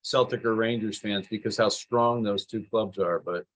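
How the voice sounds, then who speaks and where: A man hosting a casual podcast interview, relaxed and conversational as he asks questions. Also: on the low side, normal speed, rough recording. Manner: relaxed and conversational, with fillers and restarts. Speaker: podcast host.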